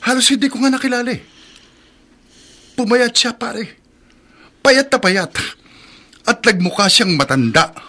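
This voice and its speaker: A man playing an excitable, loyal middle-aged friend in Filipino radio drama, urgent and repetitive as he speaks. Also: very high voice, unhurried, pauses often, heavily acted. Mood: urgent, concerned. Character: excitable, loyal middle-aged male buddy bearing news. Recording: radio drama with background noise.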